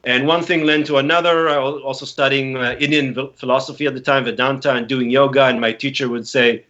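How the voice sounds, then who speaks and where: A man from Israel in his forties recounts his past in a reflective, earnest way, often stopping to restart a phrase. His voice sits at an ordinary middle pitch. The recording sounds muffled and lo-fi. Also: fairly even intonation, a little fast, pushed and bright. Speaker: interview guest, activist founder. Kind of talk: casual interview talk. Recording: dull, lo-fi sound.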